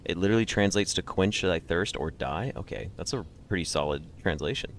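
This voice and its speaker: Male livestream host, low register, loose conversational delivery, joking and slangy asides to viewers. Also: brisk, a little hiss or hum. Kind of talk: casual chat with live stream viewers.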